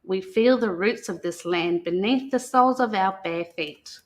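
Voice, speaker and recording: An Australian woman speaking as a guest storyteller, talking and reading a picture book aloud in a gentle manner. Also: mid-range voice, measured pace. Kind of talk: unscripted talk and picture-book read-aloud.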